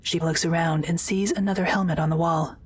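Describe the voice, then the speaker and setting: A woman's voice: on the low side, fairly even intonation, brisk, background noise. A woman reading scripted, present-tense narration for an audio drama.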